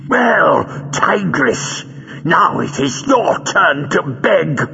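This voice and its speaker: Storybook villain: menacing, declamatory, high and swooping, on slightly muffled audio. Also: male voice, very animated, extremely slow, bright, forceful tone, in a large hall, heavily acted. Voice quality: hoarse with rage. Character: gloating, raging male villain, cruel and theatrical. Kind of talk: dramatic audiobook character voice.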